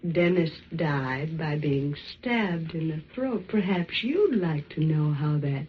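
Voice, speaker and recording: An actress playing a sinister, taunting female tormentor with deliberate, theatrical menace in an old-time radio thriller. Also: low-pitched, animated. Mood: cold menace. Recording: dull vintage radio recording, faint noise.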